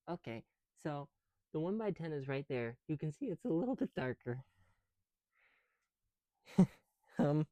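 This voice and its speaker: A man chatting casually in an ASMR style as a video creator, muttering softly and sometimes dropping into a whisper, with mild swearing. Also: medium pitch, no echo. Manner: casual, mildly profane. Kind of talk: ASMR, casual chat while building with bricks.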